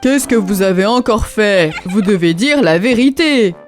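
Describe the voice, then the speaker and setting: A woman voicing a fussy, food-loving grown-up lion parent in a children's cartoon, animated and playfully scolding. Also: medium pitch, unhurried, natural voice. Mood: puzzled, scolding. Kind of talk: children's cartoon voice acting in rhymes. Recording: music playing behind the voice.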